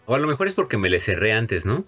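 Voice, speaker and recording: A man co-hosts a casual podcast, dropping quick dry jokes into the talk. His voice sits low. Faint music hums in the background. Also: very animated. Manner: wisecracking, dry quips. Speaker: podcast co-host. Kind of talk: casual chat.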